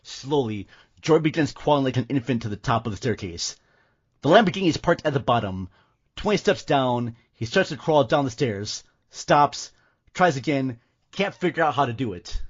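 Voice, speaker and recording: A man's voice: mid-range voice, animated, normal speed, breathy edge, lo-fi sound. A man hosting and narrating an audio drama from a script in a dramatic style. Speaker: podcast host and narrator.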